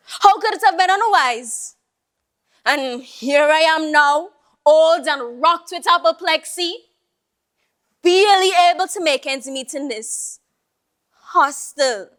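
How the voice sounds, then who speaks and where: A woman's voice: high-pitched, fairly bright tone, heavily acted. A woman performing a theatrical monologue as a proud, weary old Jamaican Creole woman, lamenting and wistful, full of rhetorical questions and sighs. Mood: weary, bitter. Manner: slow, lamenting, rhetorical, with sighing exclamations.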